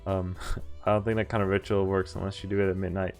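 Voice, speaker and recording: A man's voice: deep voice, little pitch movement, quick pace, darkish tone. A man streaming a visual novel, reading its text aloud and adding casual, amused, informal commentary. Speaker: game streamer. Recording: background music behind the voice. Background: game music.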